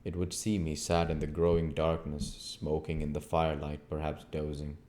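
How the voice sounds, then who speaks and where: A man with a low-pitched voice reads a story aloud. He narrates in an audiobook style, working through literary prose. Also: monotone, moderate pace, darkish tone. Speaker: audiobook reader.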